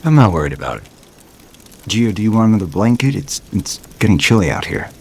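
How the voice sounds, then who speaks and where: An actor playing a wistful man in his thirties in an audio soap opera, gentle, earnest and halting. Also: on the low side, sing-song, creaky, breathy edge, full character voice. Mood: attentive. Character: wistful thirty-eight-year-old father, tender with old love. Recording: music behind the voice.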